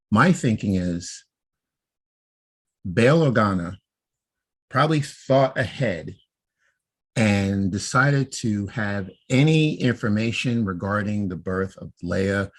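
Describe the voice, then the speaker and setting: A man co-hosting a casual podcast, laying out his fan theories and often checking in with "right?". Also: lowish voice. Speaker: podcast co-host.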